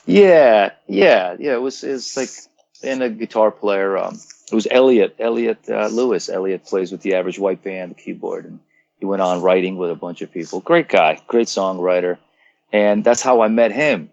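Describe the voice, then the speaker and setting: A fairly low-voiced man in his fifties, originally from Croatia, talking as an interview guest and animatedly reliving old stories. His voice comes over a dull, muffled, lo-fi line that sounds like a phone call. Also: moderate pace, vocal fry. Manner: animated storyteller, swearing, acting out quotes. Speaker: rock singer, interview guest.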